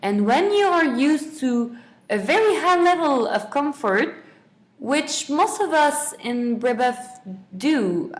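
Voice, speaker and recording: A woman's voice: high-pitched, animated, takes it slowly, poor audio. A young adult woman, a student guest giving semi-prepared answers in a radio interview.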